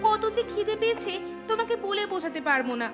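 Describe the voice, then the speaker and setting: Young cartoon daughter female actor: extremely high, swooping, weary complaints, music clearly underneath. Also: lively intonation, quick pace. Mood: weary complaining. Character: tired, hungry young daughter, a student. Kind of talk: cartoon voice acting.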